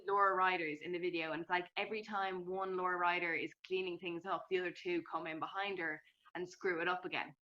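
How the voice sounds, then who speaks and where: A woman's voice: lowish voice, fairly even intonation, a little fast, pushed and bright, lo-fi sound. A woman interviewing a guest in an enthusiastic, chatty way, full of fillers. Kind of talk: casual interview chat.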